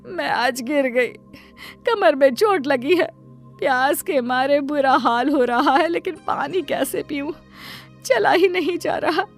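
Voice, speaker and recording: A doting, self-sacrificing cartoon mother, put on by a woman, who wails and pleads in a high, bright voice. She keeps excusing her spoiled daughter, with soft music faintly behind her. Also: animated, a little slow. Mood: pleading. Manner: wailing, pleading, indulgent toward her daughter. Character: aching tearful middle-aged mother, self-sacrificing. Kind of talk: cartoon storybook voice acting.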